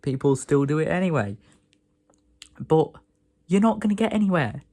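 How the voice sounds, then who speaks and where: A young man from England, hoarse from a sore throat, rambling and grumbling in a casual vlog rant. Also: a little high, animated, moderate pace, mellow. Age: young adult. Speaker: vlogger.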